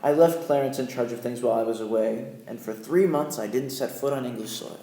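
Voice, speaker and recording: A man's voice: medium pitch, brisk, warm tone, natural voice. A man playing a Yankee storyteller, narrating his adventure in scripted first person for a radio play. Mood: reflective. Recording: echoey room, music behind.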